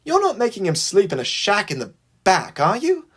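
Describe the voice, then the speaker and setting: A narrator voicing an earnest, outspoken male tank engine with an excitable, emphatic manner. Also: mid-range voice, normal speed, slightly rough, voice pushed up, heavily acted. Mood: earnest concern.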